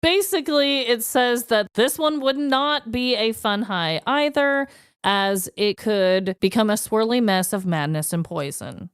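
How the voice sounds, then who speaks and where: A woman co-hosting a podcast in casual, jokey chat, informal and quick to laugh. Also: fairly high pitch, clear, resonant tone, no echo, clean studio sound. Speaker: podcast co-host. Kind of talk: casual comedic chat with a prepared list.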